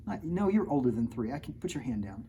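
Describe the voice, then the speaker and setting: A man with a soft, mellow voice hosts a children's storytime, reading playfully and chatting informally with his young listeners. His tone is dark and relaxed, in a medium register, with a little noise behind him. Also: medium pitch, fast talker. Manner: joking, informal, talks directly to young children. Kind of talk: read-aloud picture book with playful chatty asides.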